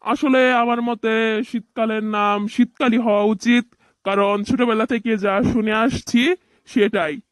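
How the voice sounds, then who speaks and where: Man voicing a high-voiced grumbling village uncle. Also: steady tone, measured pace, smooth, dry recording. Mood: huffy. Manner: scolding, indignant, colloquial. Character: grumbling, easily offended older village uncle. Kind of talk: cartoon voice acting.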